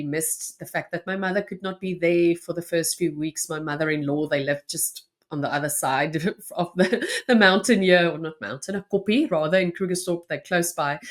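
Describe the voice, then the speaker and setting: A woman from South Africa, an Afrikaans native speaker with a South African accent, answering interview questions earnestly with frequent restarts. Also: on the low side. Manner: earnest, with restarts and soft laughs. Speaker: podcast guest.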